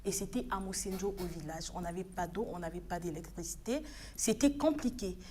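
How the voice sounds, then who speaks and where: A woman's voice: lowish voice, fairly quick, warm tone, a little hiss or hum. A woman in her thirties from the Republic of the Congo, speaking as a studio guest on a TV news program in an earnest, exhorting way with hesitant restarts. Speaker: studio guest, African Union official.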